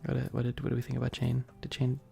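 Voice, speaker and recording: Female ASMR streamer, very gravelly low voice, teasing and chatty with her viewers, close on the mic. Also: monotone, fast talker, dark tone, soft background music. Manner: playful, teasing, repeats questions to chat. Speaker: ASMR livestreamer. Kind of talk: ASMR livestream, casual chat with viewers.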